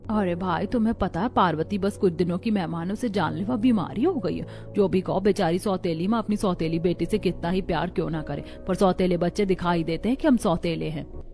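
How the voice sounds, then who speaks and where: A woman's voice: fairly low pitch, a little fast, a little mellow, soft background music, voice pushed down, lightly acted. A woman voicing a gossiping market woman in a cartoon story, pitying and judgmental. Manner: gossipy, tutting.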